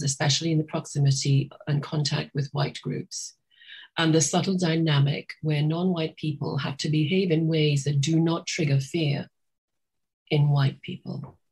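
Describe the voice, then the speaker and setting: A woman's voice: sits low, darkish tone, husky, poor audio. A middle-aged woman from Trinidad, a psychotherapist, speaking thoughtfully as a guest in an interview, often restarting her sentences. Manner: thoughtful, with many restarts and fillers. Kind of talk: reflective interview talk.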